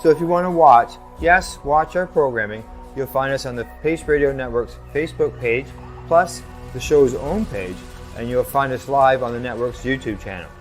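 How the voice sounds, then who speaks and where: A man's voice: mid-range voice, normal speed, few pauses. Male announcer for station promos, emphatic and upbeat, light music bed. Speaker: network announcer. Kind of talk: scripted promo read.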